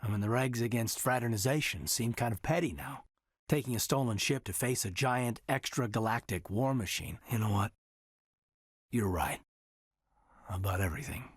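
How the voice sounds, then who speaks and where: An earnest soldier in a space game, kinda husky, very dry close recording, sincere and restrained. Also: male voice, mid-range voice, normal speed, no room sound. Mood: flirting, guarded, then tender, intense. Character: earnest, loyal military officer in a space saga, adult man. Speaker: voice actor in a video game. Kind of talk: naturalistic dramatic game dialogue.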